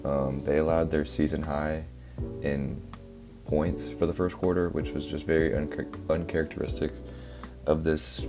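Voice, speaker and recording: A young man, a little hoarse from a cough, casually breaking down basketball plays with lots of fillers. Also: a bass voice. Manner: relaxed, filler-heavy, explaining step by step. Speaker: basketball analysis creator. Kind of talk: casual play-by-play film breakdown. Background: background music.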